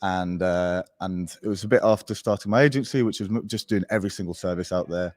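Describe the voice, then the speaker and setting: Young man, English accent, deep voice, casual guest chat, dry mic. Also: quick pace, dry recording. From born in Portugal, raised in Spain. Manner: relaxed, rambling, many fillers. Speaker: guest, agency founder. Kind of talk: casual podcast interview.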